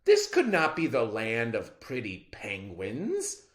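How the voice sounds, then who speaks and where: A reader narrating a children's story aloud in a theatrical, expressive style. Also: mid-range voice, slow pace, roomy sound.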